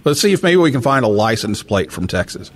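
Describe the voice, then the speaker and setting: A man hosting a live radio talk show from a hotel, talking in a halting way with many fillers and restarts. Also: medium pitch, sing-song. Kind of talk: live call-in show banter. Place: hotel room during a convention.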